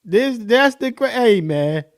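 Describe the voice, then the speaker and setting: Man, podcast host, playful reaction talk full of slang and laughs, slightly high voice, unhurried delivery. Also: animated. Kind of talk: casual reaction commentary.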